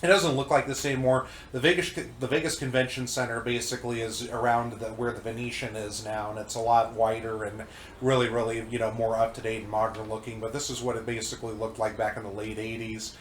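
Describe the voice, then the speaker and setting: A man's voice: medium pitch, flat delivery, a little fast, roomy sound, slight background noise. A congested man rambling casually about old games, with many fillers and restarts. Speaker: co-host reviewing old magazines. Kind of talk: casual chat.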